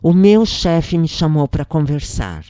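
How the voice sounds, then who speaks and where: A woman's voice: low voice, sing-song, measured pace, dark tone, dry recording, studio quality. A woman narrating a scripted first-person story in a dramatic storytelling style.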